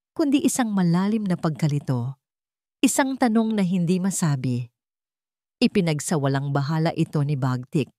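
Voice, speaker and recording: A woman with a Filipino accent reads a scripted dramatic story in measured, short phrases. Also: fairly low pitch, a little mellow, dry recording, studio quality.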